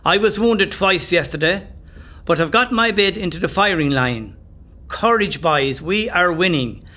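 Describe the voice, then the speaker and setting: Rebel commander, played by a man: high, ringing delivery, proud and oratorical, audio drama. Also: lively intonation, unhurried, bright, forceful tone, heavily acted. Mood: stirring, proud. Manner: oratorical, solemn. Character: rousing rebel commander declaiming a wartime dispatch. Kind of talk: declaimed speech in an audio play.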